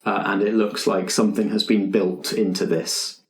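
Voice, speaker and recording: Male game master, lower register, describes scenes directly to players, recorded with noticeable room echo. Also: little pitch movement, normal speed. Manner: descriptive, addressing players as you. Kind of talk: improvised narration of a tabletop roleplaying game. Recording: roomy, echoing group recording.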